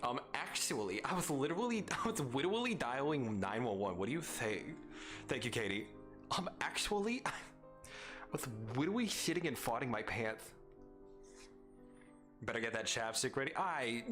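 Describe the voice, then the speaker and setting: A male streamer with a slight Arizona mumble, joking and teasing his chat in a loose, rambling way. His pitch swings up and down all over the place, with quiet music playing under him. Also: very animated, normal speed. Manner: playful, teasing, rambling jokes. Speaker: live streamer host. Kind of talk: casual chat with viewers.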